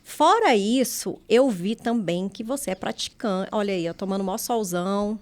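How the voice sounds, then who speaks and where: A woman's voice: mid-range voice, lively intonation, moderate pace, darkish tone. A woman hosting a casual podcast interview, playful and teasing, laughing often. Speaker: podcast host.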